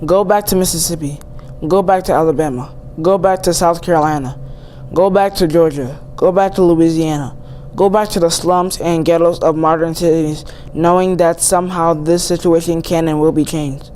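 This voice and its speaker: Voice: medium pitch, fairly even intonation, normal speed, darkish tone. A young teen student reading a famous speech aloud. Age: young teen, seventh grader. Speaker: student reader. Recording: noisy amateur recording.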